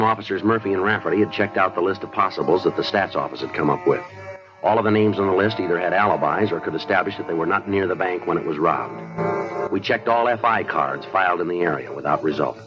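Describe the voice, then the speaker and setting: An actor playing a terse, matter-of-fact police detective in a 1950s radio crime drama. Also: male voice, deep voice, close to an everyday voice. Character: clipped, businesslike male police detective narrating his case. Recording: muffled 1950s radio recording with music.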